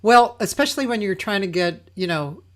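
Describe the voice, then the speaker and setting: A woman hosts a casual live online interview from home, relaxed and laughing along with her guest. Her voice sits at an ordinary mid pitch. It comes through a video call. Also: normal speed. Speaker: host.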